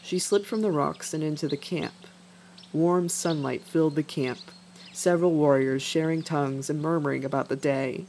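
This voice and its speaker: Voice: mid-range voice, steady tone, normal speed, a little mellow, a little hiss or hum. A narrator reading an animal adventure story as scripted narration. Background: faint background music.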